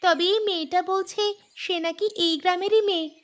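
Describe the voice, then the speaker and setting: A woman's voice: very high-pitched, fairly even intonation, brisk, pitched above the performer's own voice. A woman voicing a poor young orphan village girl in a cartoon fairy tale, plaintive and childlike. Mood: innocent, plaintive.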